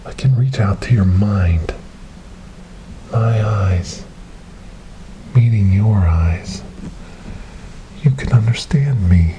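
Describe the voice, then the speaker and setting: A man playing a calm, hypnotic government paranormal investigator in a whispered ASMR horror role-play, speaking softly, breathily and deliberately. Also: fairly low pitch. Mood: soothing, hypnotic. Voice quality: soft, breathy, often dropping into whisper. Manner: hushed, deliberate, repetitive, hypnotic. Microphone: close binaural microphone. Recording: noisy close binaural recording.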